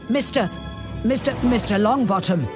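A performer voicing a commanding, brisk female flying teacher in a wizard video game. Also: medium pitch, close to an everyday voice. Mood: flustered concern. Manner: authoritative, brisk. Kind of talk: video game character acting. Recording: music behind.

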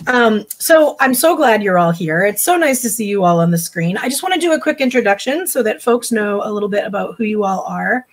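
A woman's voice: medium pitch, expressive, brisk, hardly any pauses. A woman editor from the Boston area moderating a live online panel from home, introducing the guests with lots of "um" and chatty asides over a laptop mic. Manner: warm, chatty introductions with frequent "um". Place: home room. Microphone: laptop webcam mic on a video call.

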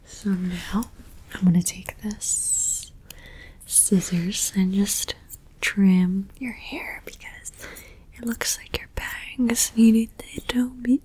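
A woman whispering softly in an ASMR personal-attention roleplay, gently reassuring, with repeated trigger words and small chatty asides. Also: medium pitch, steady tone, takes it slowly, slightly rough, poor audio. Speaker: ASMR creator.

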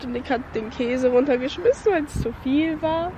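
A young woman chatting playfully straight to the viewers, her voice a touch high, filmed with a handheld camera over clear wind and street noise. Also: moderate pace, warm tone, low-quality recording. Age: young adult. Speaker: vlogger. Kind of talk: casual travel vlog chat. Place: outdoors and in a car on a road trip. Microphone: handheld camera at arm's length. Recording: outdoor noise from traffic and wind.

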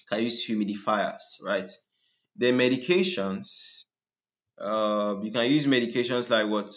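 A deep-voiced man talks through medical slides in a lecture. His voice sits at an ordinary middle pitch, and he keeps tossing out "what?" to his students before giving the answer. The lo-fi screen recording sounds a little dull. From Nigeria. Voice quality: deep, just-woken voice. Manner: asks rhetorical "what?" questions and answers them.

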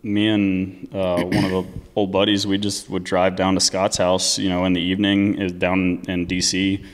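A man in his thirties from the US East Coast, a guest telling racing stories in relaxed, casual talk that trails off and swears freely. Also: sits low, moderate pace, long reverb tail. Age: 30s. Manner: laid-back, swears casually, trails off often. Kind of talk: casual storytelling chat.

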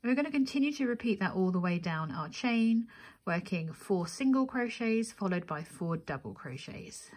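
A woman with a slightly husky voice at a middle pitch guides listeners through a craft tutorial. She goes methodically, one step at a time, and counts aloud as she works. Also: lively intonation, normal speed, darkish tone. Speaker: crochet tutorial instructor.

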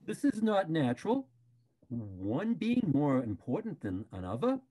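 A man's voice: fairly high pitch, wildly swooping pitch, unhurried, dark tone, breathy edge, heavily acted, rough recording. A man playing an indignant animal defending old ways in a play reading, speaking emphatically.

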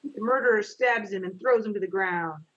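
A middle-aged woman in a home office, reading stage directions for a video-call play reading and briskly keeping the young readers on track. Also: medium pitch. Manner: brisk, practical, coaxing the young readers along. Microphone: laptop mic on a video call. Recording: dull lo-fi video call audio.

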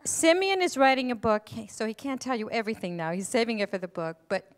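An American woman in her sixties hosting a live event, speaking emphatically and deliberately into a handheld microphone. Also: a little high, very animated. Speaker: event host and moderator. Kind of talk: addressing a live audience on stage. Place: auditorium stage before an audience. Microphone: handheld stage microphone.

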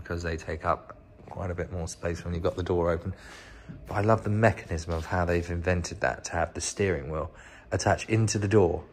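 British guy, low voice, chatting casually like a car vlogger, bit of background noise. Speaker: vlogger, host of a channel about rotten old cars. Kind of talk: casual off-the-cuff walk-around commentary. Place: inside a car workshop.

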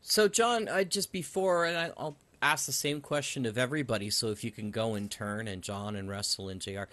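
A man's voice: mid-range voice, brisk, a bit bright. A man hosting an interview podcast, introducing guests and putting questions to them. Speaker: interview host.

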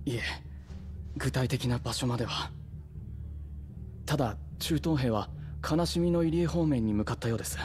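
A man's voice: mid-range voice, moderate pace, long pauses, a little mellow, slight background noise, natural voice. A man playing a dutiful, deferential lieutenant reporting politely and formally in a Japanese video game drama. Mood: dutiful, cautious.

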